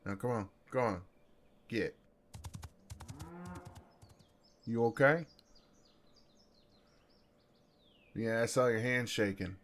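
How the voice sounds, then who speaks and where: A man with a Southern US accent playing a gentle, flirtatious farmhand in an intimate ASMR roleplay, with an easygoing, folksy, soothing drawl. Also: mid-range voice, moderate pace, close to an everyday voice. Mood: calm, soothing.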